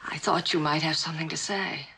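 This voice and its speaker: An actress playing an unhappy, torn wife in a mid-century suspense drama, with a husky voice. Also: female voice, low voice, fairly even intonation, brisk, bright, forceful tone, heavily acted, rough recording. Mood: urgent.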